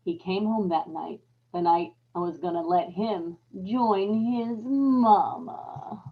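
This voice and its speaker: A woman's voice: mid-range voice, slow, narrow, tinny audio, a little hiss or hum. A woman playing a scheming, guilt-ridden murderous wife in an old time radio horror play, with dramatic, folksy, emphatic delivery. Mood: gloating, bitter. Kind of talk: old time radio horror acting, confessional narration and dialogue.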